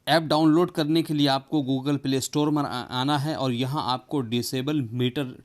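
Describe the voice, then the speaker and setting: A man's voice: medium pitch, steady tone, moderate pace. A man presenting a tech explainer, speaking directly to his viewers as a tutorial host. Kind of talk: explainer video addressed to viewers.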